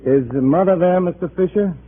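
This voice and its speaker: An actor playing a nervous, hesitant undercover agent posing as a loyal comrade in a 1950s radio drama. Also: male voice, high-pitched, a little fast, husky. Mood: strained, falsely cheerful. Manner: hesitant, stammering under pressure. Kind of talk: 1950s radio drama acting with first-person narration. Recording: tinny, noisy old radio recording.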